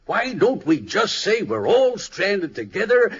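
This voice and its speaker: A humble, kindly country fellow in vintage radio drama. His voice is husky and a little high, moving up and down as he hesitates gently. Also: very animated, a little slow, exaggerated voice. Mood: gently hesitant. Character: kindly, humble old-fashioned country storekeeper. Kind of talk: 1950s radio drama acting.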